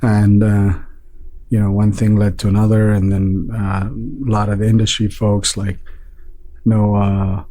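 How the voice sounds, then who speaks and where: Guy from nepal, restaurant owner on a podcast, lowish mellow voice, lots of uhs. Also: low-quality recording. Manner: relaxed, unhurried, many fillers and restarts. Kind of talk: casual interview talk.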